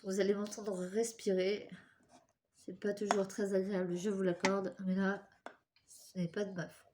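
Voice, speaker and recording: A woman's voice: mid-range voice, little pitch movement, moderate pace, background noise. A young woman with a cold and a stuffy nose, hosting a casual step-by-step craft tutorial and chatting apologetically while she works with her hands.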